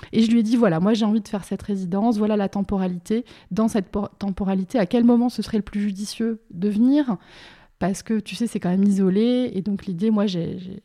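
Woman, mellow relaxed tone, mid pitch, informal interviewee, hesitant, word repetitions, from Bordeaux. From Bordeaux, France. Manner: hesitant, searching, often repeats words as thoughts form. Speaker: interviewed independent curator and art school teacher. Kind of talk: informal radio interview, explaining a project.

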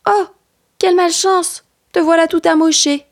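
Excitable little storybook boy played by a woman, high-voiced, animated, quick to gasp and exclaim. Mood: dismayed. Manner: exclaiming, breathless with amazement and dismay.

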